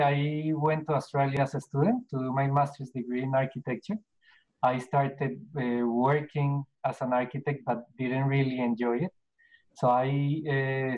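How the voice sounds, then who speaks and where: A middle-aged man from Colombia with a Colombian Spanish accent, answering interview questions in a casual, halting way with many restarts and fillers. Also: medium pitch, darkish tone, low-quality recording. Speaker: podcast guest, business owner.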